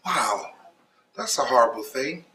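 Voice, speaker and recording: Man stage actor, patient husband, high bright voice, expressive, echoey, faint noise. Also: measured pace. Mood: concerned. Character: patient, devout husband judging a children's script contest. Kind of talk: stage play acting. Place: theatre stage.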